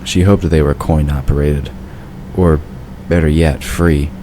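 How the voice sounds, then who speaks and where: A man's voice: a bass voice, steady tone, measured pace. A man reading scripted narration for a fantasy audio drama. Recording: clear background noise.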